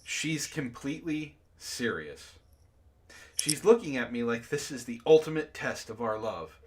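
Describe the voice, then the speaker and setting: A man's voice: medium pitch, very animated, unhurried. A man hosting a read-aloud, narrating casually and breaking off for sardonic, profane asides. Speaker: book club host reading a story aloud with commentary.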